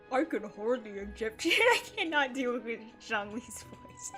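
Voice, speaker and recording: Animated, high-voiced woman streaming a game. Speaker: livestream host. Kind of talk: casual gaming livestream commentary, reading game dialogue aloud.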